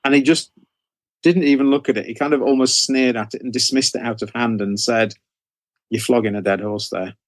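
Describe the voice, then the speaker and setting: A man's voice: medium pitch, fairly even intonation, a little fast, no room sound. British man in his sixties, dry close sound. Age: 60s. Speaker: interview guest, horror author. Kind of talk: conversational storytelling in an interview.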